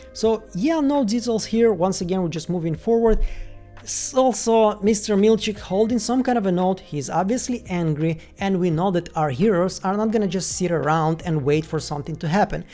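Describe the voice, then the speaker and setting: A woman's voice: mid-range voice, warm tone. A woman from Ukraine with a Ukrainian accent, hosting a chatty video commentary full of "like" and "once again" fillers. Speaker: YouTube host.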